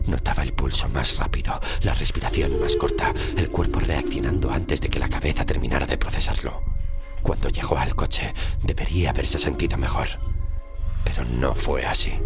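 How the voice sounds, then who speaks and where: Spanish guy reading a scary story, super deep crackly voice, breathy and suspenseful, music behind him. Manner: slow suspenseful delivery with short phrases and weighty pauses. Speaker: narrator of a horror audio story podcast. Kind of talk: scripted horror story narration.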